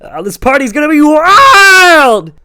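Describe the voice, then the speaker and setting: A man's voice: extremely high pitch, very animated, takes it slowly, bright, forceful tone, voice pushed up, heavily acted. A man voicing a big, jolly American party bro with a rowdy, slangy way of talking.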